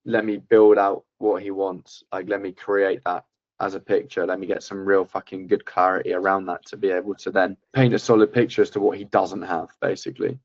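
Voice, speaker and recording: A man breaks down a sales call with blunt, profane coaching, speaking in a flat, low voice. The sound is dry and muffled, like a lo-fi video call. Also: brisk, no echo. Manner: blunt, swears often. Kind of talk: casual coaching chat.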